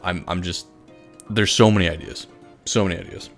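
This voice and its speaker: A man's voice: low-pitched, a little fast, vocal fry, a bit bright. A man doing casual, enthusiastic gaming commentary in an informal, rambling way. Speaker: gaming video host. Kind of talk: casual Minecraft time-lapse commentary. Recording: voice over background music. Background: music.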